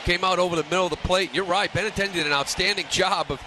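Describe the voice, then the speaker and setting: A man giving live radio color commentary on baseball, breaking down pitching in a conversational, opinionated way. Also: fairly even intonation, quick pace. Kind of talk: live sports radio analysis. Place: stadium broadcast booth. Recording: some background ballpark noise.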